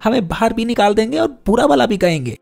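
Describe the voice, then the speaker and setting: A man's voice: extremely high pitch, lively intonation, brisk, creaky, a little mellow, faint music behind, voice pushed up, heavily acted. A man voicing a wary, hungry little boy from a poor home in a story, hesitant and grumbling. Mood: annoyed.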